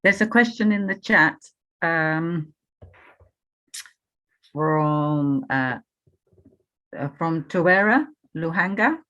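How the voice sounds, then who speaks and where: A woman with a low voice moderates an online question session. She speaks slowly and with measured hesitation as she hands over between speakers. The call audio is a little dull. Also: expressive, bright, forceful tone. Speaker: host, moderator.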